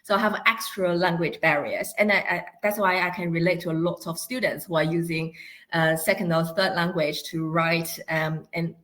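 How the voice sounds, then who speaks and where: A woman with a Mandarin Chinese accent gives an academic presentation in English as a second language, explaining in a halting, semi-spontaneous way. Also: fairly low pitch, fairly even intonation, fairly bright tone. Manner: halting, explanatory, non-native phrasing. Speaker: guest speaker, university academic.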